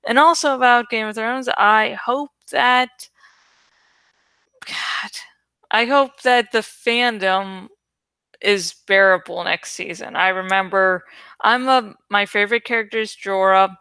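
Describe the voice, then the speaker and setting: A woman's voice: mid-range voice, slow, bright, forceful tone, clear, resonant tone, no room sound. A woman host talking casually to her viewers, rambling in an irreverent, sweary, giggly way. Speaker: host of a reading channel.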